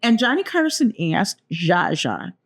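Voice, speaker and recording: A woman's voice: a little high, big pitch swings, a little slow. A woman in her seventies co-hosting a podcast, chatting casually and telling stories with playful asides. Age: 70s. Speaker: podcast co-host. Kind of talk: casual chat.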